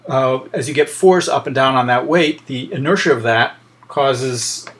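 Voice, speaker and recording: A man with a mid-pitched voice gives an informal explanatory lecture. He thinks aloud as he goes, often hesitating and feeling his way to the next phrase. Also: a little slow, a bit bright.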